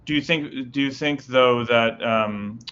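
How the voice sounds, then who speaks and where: A man's voice: medium pitch, a bit bright, smooth, dull audio. A man hosting a news show, reading headlines and then interviewing a guest with short follow-up questions. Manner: brisk, reads headlines then asks follow-ups with frequent backchannels.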